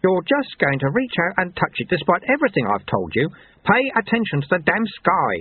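A man acts a fed-up older teacher in a fantasy audiobook, scolding and impatient. The voice is pitched up, a little husky, on a thin band. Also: sing-song, a little fast, dry recording, narrow, tinny audio, exaggerated voice. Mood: exasperated.

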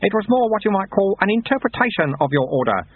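A serene, centuries-old monk master, played by a man, patient and gently ironic. His lines rise and fall lively and sit fairly high, with a husky grain. The sound is dry and tinny, like a phone line. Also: fast, no room sound, exaggerated voice. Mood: calm wry. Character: wry, ancient, calm male monk teacher. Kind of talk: audiobook character reading.

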